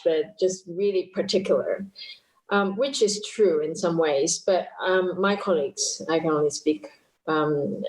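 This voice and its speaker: Chatty woman, low voice. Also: echoey room, poor audio. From Hong Kong. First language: Cantonese. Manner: chatty, laughs often, self-deprecating. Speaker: guest musician. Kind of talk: casual interview chat.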